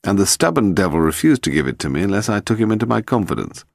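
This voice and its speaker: Audiobook casting: male narrator as calm, wry gentleman detective, cheerful, explanatory, expressive pitch, gravelly edge. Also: fast, dry recording, clean studio sound, heavily acted. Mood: explaining.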